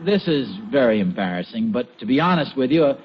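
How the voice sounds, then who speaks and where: A man's voice: mid-range voice, big pitch swings, brisk, close to an everyday voice. An actor playing an affable, bumbling husband in a 1940s radio sitcom, politely hesitant and stammering his way along. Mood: embarrassed, hesitant. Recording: muffled, noisy 1940s radio recording.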